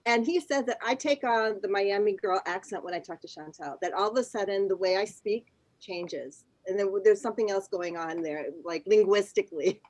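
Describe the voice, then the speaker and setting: An American-born woman with roots chatting on a video call, laughing often and pausing with many ums. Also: medium pitch, darkish tone, no room sound, poor audio. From United States. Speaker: guest. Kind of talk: casual conversation on a video call.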